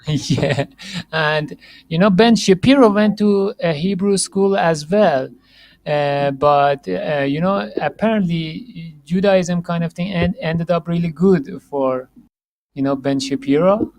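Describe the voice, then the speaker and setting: Halting male podcast host, dry sound. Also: fairly high pitch, darkish tone, no echo. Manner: halting, many restarts and fillers, laughs often. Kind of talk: casual interview chat.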